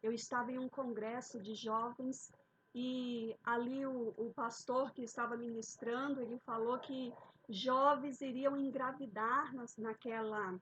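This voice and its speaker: A woman preaching a sermon, opening with a fervent prayer and often addressing her listeners warmly. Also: a little high, steady tone, unhurried, husky, poor audio. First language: Portuguese. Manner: fervent, repeated "queridos" and "ó Pai".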